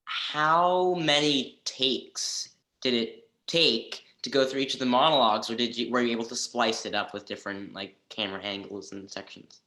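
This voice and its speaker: A man student with a bright, forward tone asks his question tentatively. His voice carries a roomy echo. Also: medium pitch, normal speed.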